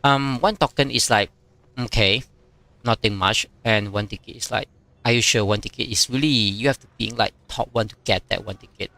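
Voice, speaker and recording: Chinese-native man, bright, dry close mic. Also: lowish voice, no room sound. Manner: halting, many fillers, reads chat aloud. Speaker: game streamer. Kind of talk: casual live-stream commentary.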